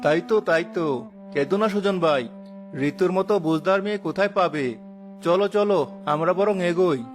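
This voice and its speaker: Man as a high-voiced, agreeable village uncle. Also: a little fast, soft background music, natural voice. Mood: consoling. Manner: consoling, good-naturedly agreeing. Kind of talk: children's cartoon story acting.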